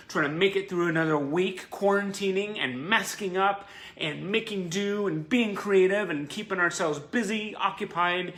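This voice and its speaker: Bright-voiced effusive man in an echoey room. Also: high voice. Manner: effusive, gushing, encouraging. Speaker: host of a fan livestream show. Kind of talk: casual livestream chat to fans. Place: indoor room, live online stream. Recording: roomy echo, faint background noise.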